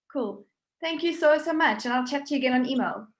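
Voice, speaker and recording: A woman's voice: high-pitched, normal speed, reverberant. A woman interviewing a graduate over a video call, friendly and encouraging in a casual way. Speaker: interviewer from the university.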